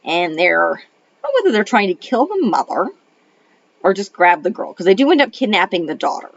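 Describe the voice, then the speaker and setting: A woman's voice: mid-range voice, big pitch swings, brisk, vocal fry. A middle-aged woman, a retired librarian and homeschool mom, rambles through a casual film review at home while her voice is still thrown off by a cold. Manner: rambling, many ums and restarts. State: recovering from a cold, voice a little off. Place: home in Arizona. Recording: dull lo-fi audio.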